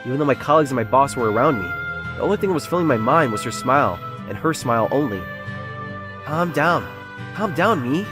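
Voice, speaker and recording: A man acts out an earnest young salesman confiding his story in a romance comic dub. He doubts himself and sounds like a secret nerd. His delivery is brisk and highly animated, swinging expressively, with music clearly playing behind him. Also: natural voice. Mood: nervous, smitten. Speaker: narrator and lead character of a romance comic dub. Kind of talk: first-person dramatised narration.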